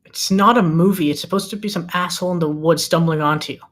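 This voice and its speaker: A man's voice: on the high side, steady tone, quick pace, fairly bright tone, close to an everyday voice. A man playing an exasperated, practical amateur cameraman in a comedic table read.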